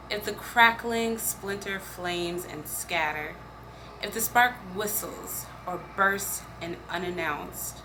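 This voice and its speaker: A woman reading poems aloud as a poetry reader. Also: mid-range voice. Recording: clear background noise, room ambience.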